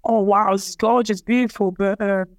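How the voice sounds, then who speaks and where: A young woman from Cameroon, French her first language, tells her story in a relaxed, hesitant way, circling back and repeating words. Her voice is smooth and clear, at a middle pitch. The sound is close and dry. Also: moderate pace, dry recording. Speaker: interviewee, athlete. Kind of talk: casual personal storytelling.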